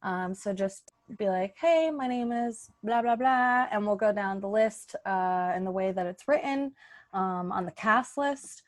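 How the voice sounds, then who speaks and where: A woman's voice: mid-range voice, animated, measured pace. A woman talking casually as a podcast host, organizing her cast in a breezy, informal way. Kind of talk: casual chat.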